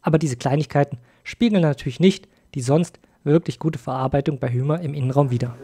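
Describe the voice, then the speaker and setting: A man's voice: fairly high pitch, a little fast, dark tone, a little hiss or hum. A man hosting a video as an informal review voice-over, speaking directly to viewers. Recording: music behind the voice. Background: music.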